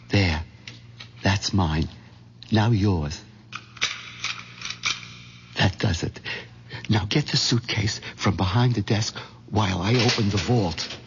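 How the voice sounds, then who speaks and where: Meek middle-aged clerk, nervous and stammering, unhurried delivery, old radio drama with dulled sound. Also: male voice, on the low side, noisy background. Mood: brisk, tense. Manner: hesitant, stammering. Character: mild, hen-pecked middle-aged bank clerk turned nervous plotter. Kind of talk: 1970s radio drama acting. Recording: dull lo-fi 1970s radio recording.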